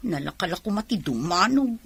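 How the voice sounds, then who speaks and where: A woman's voice: on the low side, expressive, fairly quick, vocal fry, a bit bright, slightly rough, full character voice. A woman playing a feisty, protective older-sister type in a comedic radio drama, chatty and plain-spoken.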